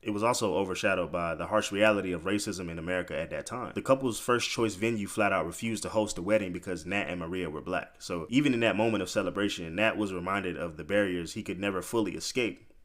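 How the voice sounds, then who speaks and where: A man's voice: low-pitched, fast talker, fairly bright tone. A man narrating a biographical story as commentary, informal and opinionated, speaking straight to the listener with conversational asides.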